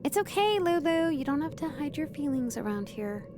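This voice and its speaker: A woman's voice: sits high, sing-song, normal speed, background noise. A woman voicing a bubbly, teasing young woman in a visual novel game, chirpy and playful.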